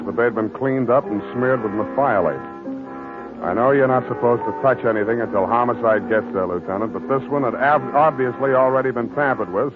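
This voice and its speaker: An actor playing a weary, wisecracking private detective in an old-time radio drama, with terse, dry delivery. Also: male voice, mid-range voice, close to an everyday voice. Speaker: lead actor and narrator. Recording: muffled old radio recording, music underneath.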